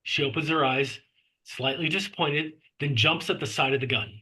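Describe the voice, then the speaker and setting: A man narrates the action lines of a screenplay. His voice is slightly high and bright, with a clearly husky grain, as he reads scripted scene directions. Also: fairly even intonation, normal speed, rough recording. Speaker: narrator reading stage directions.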